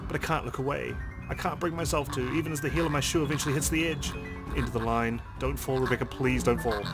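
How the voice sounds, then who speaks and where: A man's voice: medium pitch, steady tone, fast talker, over music. A man hosting a let's play, reading game text aloud dramatically and swearing as he reacts.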